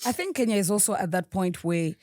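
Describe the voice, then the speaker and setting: A woman's voice: mid-range voice, animated, fast, a little mellow, dry recording. Woman in casual podcast chat, dry sound. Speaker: podcast participant.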